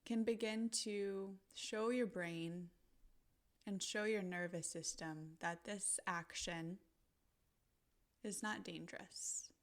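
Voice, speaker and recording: A woman narrating a guided visualization in a gentle, soothing manner, pausing deliberately between short phrases. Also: medium pitch, steady tone.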